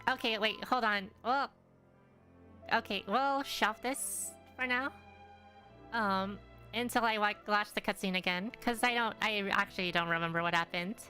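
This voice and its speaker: Young woman streamer, bright tone, rambling, hesitant, lots of ums, faint game music. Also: medium pitch, moderate pace. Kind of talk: casual gameplay commentary.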